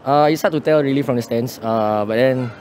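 A man's voice: medium pitch, brisk, a little mellow, a little hiss or hum. A young man with a Singaporean accent, a football fan answering casually and hesitantly into a handheld phone outdoors. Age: young adult. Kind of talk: casual post-match interview. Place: outdoor stadium entrance. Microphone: handheld phone held toward him.

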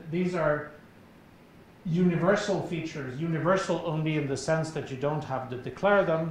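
A middle-aged man whose first language is Swedish, with a Swedish accent, giving an academic lecture in a measured, explanatory way with frequent filler pauses, on a lapel mic in a large lecture hall. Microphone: clip-on lapel mic. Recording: very echoey hall, faint rumble.

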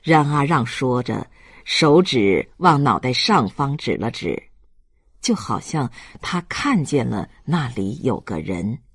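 A man's voice: medium pitch, big pitch swings, slow pace, slightly rough, no echo, studio quality. A man reading scripted literary narration as an audiobook narrator.

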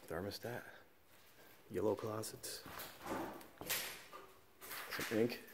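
A man's voice: fairly low pitch, steady tone, drawn-out words, noisy background. A man exploring derelict buildings, often whispering and exclaiming in awe as he films. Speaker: urban explorer filming. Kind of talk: hushed walkthrough commentary. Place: derelict school buildings indoors.

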